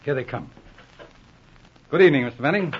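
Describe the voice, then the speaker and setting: A man's voice: on the high side, expressive, fairly quick, slight background noise, close to an everyday voice. A man playing a confident, wry Mountie sergeant in 1940s radio drama style, clipped and dry. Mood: sure, wry. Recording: muffled old radio recording.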